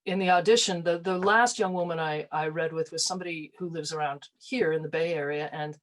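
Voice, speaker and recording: A woman's voice: sits low, fairly even intonation, brisk. A woman from the United States, an actor, reflecting casually in conversation.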